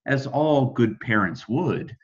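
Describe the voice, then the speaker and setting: Slow, animated American man reading bedtime stories. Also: mid-range voice, lo-fi sound. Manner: dramatic emphasis, deliberate phrasing. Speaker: storybook reader. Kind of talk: expressive children's bedtime story reading in rhyme.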